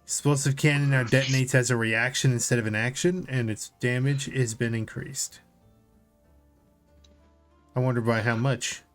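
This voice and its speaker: A man's voice: mid-range voice, steady tone, moderate pace, long pauses, soft background music. A man co-hosting a casual tabletop gaming discussion, informally reading out notes and commenting on them. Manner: informal, reads notes aloud then comments. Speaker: podcast co-host.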